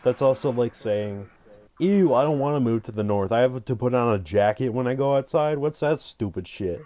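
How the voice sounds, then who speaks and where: A man's voice: mid-range voice, expressive, normal speed, warm tone, muffled recording. A man streaming a game with friends, joking loosely and swearing casually. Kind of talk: casual gaming stream chat.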